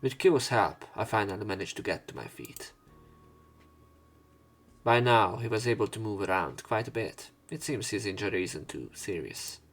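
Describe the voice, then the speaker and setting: A man's voice: on the low side, animated, moderate pace, a bit bright, faint music behind. A man doing a let's play, reading a visual novel's narration and dialogue aloud.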